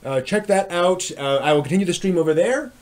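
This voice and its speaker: A man hosting a live stream, chatting casually and haltingly as he thinks aloud. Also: sits high, darkish tone.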